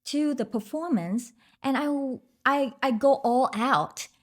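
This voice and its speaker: A woman's voice: high voice, lively intonation. A woman from Vietnam with a Vietnamese accent, speaking English as a podcast guest, chatting casually with fillers and restarts. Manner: unhurried, with fillers and restarts, laughs often. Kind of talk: casual interview chat.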